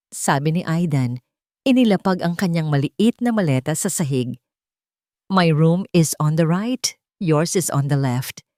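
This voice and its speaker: Female narrator for a romance audiobook: low, soft, measured, dry studio sound. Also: dry recording. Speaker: storyteller. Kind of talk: scripted love-story narration.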